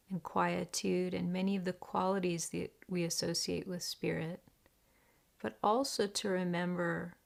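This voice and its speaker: A woman leading a guided meditation in a deliberate, gentle, hushed manner with long pauses. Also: fairly low pitch, vocal fry. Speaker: meditation teacher.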